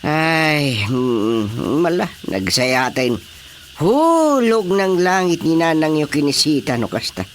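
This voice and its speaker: A man acting in an Ilocano radio comedy-drama as an expressive, opinionated villager reacting to gossip, with an Ilocano Filipino accent. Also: a little high, slow, slight background noise. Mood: pleased, teasing.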